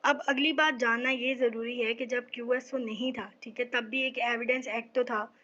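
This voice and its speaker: Woman, Pakistani accent, high voice, methodical exam-prep lecturer, step-by-step explaining, muffled lo-fi audio. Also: fairly even intonation, normal speed, sharp tone. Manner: methodical, often checks in with a short tag phrase. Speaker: law lecturer. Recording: dull lo-fi microphone sound.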